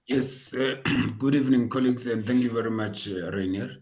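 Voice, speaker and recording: A man's voice: mid-range voice, normal speed, a little mellow. A man introducing the speaker at a webinar, talking with frequent hesitations and restarts. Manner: hesitant, many fillers and restarts. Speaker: association representative introducing the speaker. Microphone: video call.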